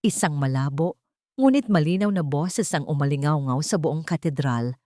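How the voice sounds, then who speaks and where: A woman's voice: sits low, animated, moderate pace, dark tone, dry recording, clean studio sound. A woman narrating a scripted romance drama in a dramatic, literary storytelling manner.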